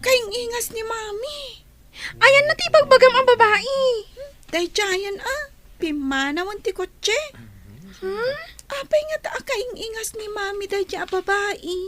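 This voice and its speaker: A woman's voice: very high voice, unhurried, fairly bright tone. A woman voicing a curious, chatty, innocent young child in a radio drama. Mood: curious, puzzled.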